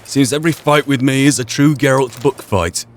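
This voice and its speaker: A man's voice: medium pitch, moderate pace. A man doing wry, understated let's play commentary, sometimes narrating the game as a role-play. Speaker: gaming channel host.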